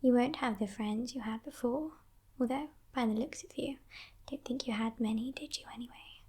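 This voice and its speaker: A woman playing a sly, haughty female demon kidnapper in a whispered ASMR roleplay, breathy, teasing and condescending, coaxing with soft menace. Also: on the high side. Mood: quiet menace. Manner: slow, teasing, condescending, softly threatening.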